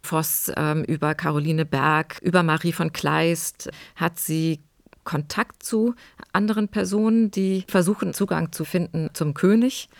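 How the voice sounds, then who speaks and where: Very creaky-voiced female expert. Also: low-pitched, fairly even intonation, no room sound, clean studio sound. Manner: academic, measured phrasing. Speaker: historian, expert commentator. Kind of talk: explanatory expert commentary.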